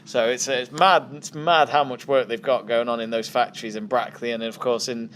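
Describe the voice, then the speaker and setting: A man's voice: medium pitch, fairly even intonation, moderate pace, a bit bright, slight background noise. A man, a podcast guest giving joking, rambling opinions in casual chat.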